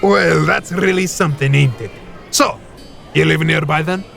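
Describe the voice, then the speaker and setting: Cheeky boisterous hunter, very creaky voice, bright edge, folksy teasing. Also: male voice, on the high side, normal speed, soft background music. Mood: nosy, teasing. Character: jovial, cheeky, chatty hunter and ale drinker. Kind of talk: fantasy audio drama acting.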